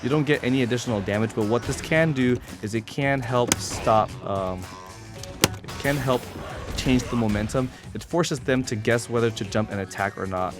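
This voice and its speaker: A man with a middle-pitched voice talks through a video game tutorial in a casual, instructional way. He is informal and drops in blunt asides, speaking like a player showing others the ropes. Game music plays clearly behind his voice. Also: normal speed. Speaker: competitive gamer presenting a character guide. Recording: voice over loud game music.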